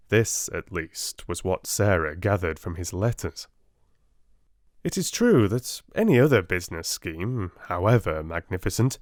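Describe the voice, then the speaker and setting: A man with a British accent giving a soft-spoken storybook narration as an audiobook reader. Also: low voice, dry recording, clean studio sound.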